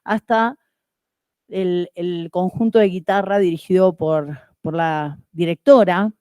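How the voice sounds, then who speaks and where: Woman from argentina giving a formal panel talk, fluent and measured, mellow tone, dry sound. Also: lowish voice, smooth, dry recording. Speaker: university rector, panelist.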